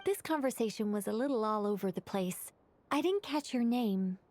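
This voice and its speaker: A woman's voice: on the high side, animated, normal speed, a little mellow, a little hiss or hum, lightly acted. A woman playing a wordy, polite, scholarly witch who eagerly explains things in a video game. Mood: polite, keen.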